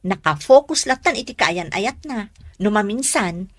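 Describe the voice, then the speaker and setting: A woman's voice: mid-range voice, lively intonation, a little slow, fairly bright tone, slightly rough, no room sound. A woman playing a nosy, worried friend in a radio drama, with an Ilocano accent. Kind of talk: Filipino radio drama acting.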